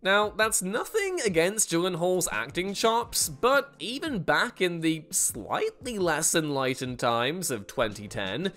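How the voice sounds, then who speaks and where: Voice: mid-range voice, a bit bright. A presenter giving scripted countdown commentary in an informal, emphatic and opinionated manner. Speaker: presenter of a film list show.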